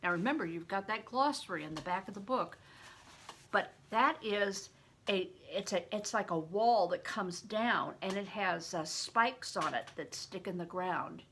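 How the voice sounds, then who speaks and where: A woman's voice: mid-range voice, a little slow, fairly bright tone, a little hiss or hum. An older woman, a grandmother, reading a story aloud expressively, as if to children. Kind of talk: read-aloud audiobook narration with plain asides.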